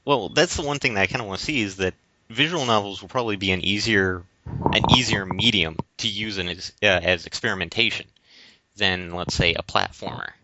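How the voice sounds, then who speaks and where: A man's voice: lowish voice, a little fast, pushed and bright, slight background noise. A man co-hosting a podcast in casual discussion, hesitantly searching for words and repeating phrases. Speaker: podcast co-host. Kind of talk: casual discussion of video games. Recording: dry but dull lo-fi recording.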